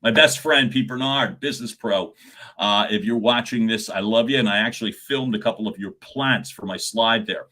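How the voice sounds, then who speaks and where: A middle-aged man with a loud speaking voice coaches viewers on a live stream, and his tone is bright and forceful. He talks in lively bursts, with his pitch and volume rising and falling. He often chuckles between points. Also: normal speed.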